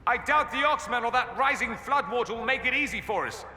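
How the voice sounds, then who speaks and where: An actor playing an urbane, sardonic mage companion in a video game, with an arch, dry, theatrical delivery. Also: male voice, very high-pitched, animated, fairly quick. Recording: echoey hall reverb under loud music.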